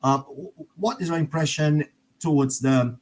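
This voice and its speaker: A man's voice: on the high side, normal speed, darkish tone, slight background noise. A man hosting a casual panel discussion, steering the talk with questions and often tagging his points with "right?". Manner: guiding questions, tag "right?". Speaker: discussion host.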